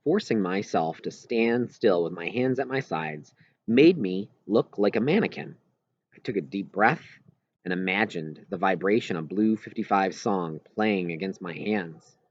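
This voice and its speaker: A man's voice: sits low, lively intonation, unhurried, dry recording, lo-fi sound. A man reading a children's novel aloud in first-person narration, as an audiobook reader.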